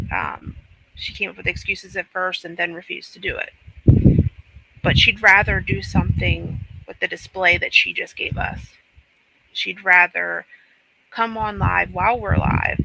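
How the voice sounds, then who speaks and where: A man's voice: high-pitched, sing-song, measured pace, gravelly, pushed and bright, a little hiss or hum, low-quality recording. A man co-hosting a livestream, talking casually. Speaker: livestream co-host.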